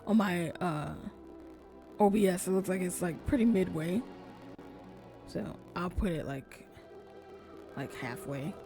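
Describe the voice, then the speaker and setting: A woman streamer with a lowish voice chats casually into her desk mic as she plays, often trailing off soft and close to a whisper. Faint game music sits under her talk. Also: unhurried, vocal fry, long pauses. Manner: chatty, soft-spoken, often drops to near whisper. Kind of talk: casual gameplay commentary.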